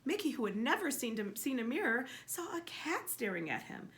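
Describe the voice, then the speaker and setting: A woman reading a children's story aloud expressively and emphatically, pausing to ask a child questions. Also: high voice. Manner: expressive, emphatic, with repeated words for effect.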